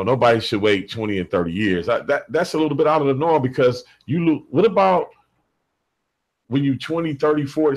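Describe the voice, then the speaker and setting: A man on a talk radio panel, speaking animated and emphatic, often laughing as he talks. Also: lowish voice, rough recording. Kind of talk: casual studio talk.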